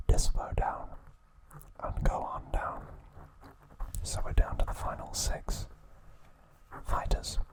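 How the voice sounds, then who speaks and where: Man whispering softly for ASMR. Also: poor audio. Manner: soft unvoiced whisper, slow and even; brief aside to his cat. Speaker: ASMR host.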